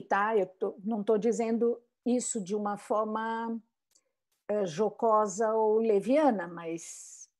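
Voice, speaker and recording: A woman's voice: medium pitch, darkish tone. A woman giving an online talk on bilingual education, reflective and full of rhetorical questions and tag questions. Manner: frequent "né?" tags and restarts.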